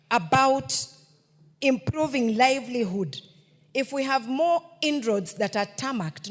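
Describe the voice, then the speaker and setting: A woman with a Kenyan accent, a member of parliament giving a measured, deliberate speech to the Speaker. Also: on the high side, a little mellow. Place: parliament chamber. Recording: reverberant parliament chamber.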